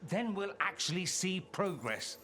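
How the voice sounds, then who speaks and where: A man's voice: high voice, big pitch swings, a little slow, bright, forceful tone, faint music behind, full character voice. A man playing a suave, witty noble mage and political radical in a video game, wry, eloquent and theatrical. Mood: bold, reasoning. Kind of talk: video game drama acting.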